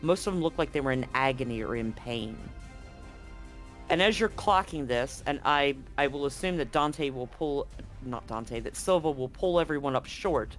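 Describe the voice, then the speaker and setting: A man running a tabletop horror game as game master, setting scenes slowly and deliberately, often restarting his sentences. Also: mid-range voice, fairly bright tone.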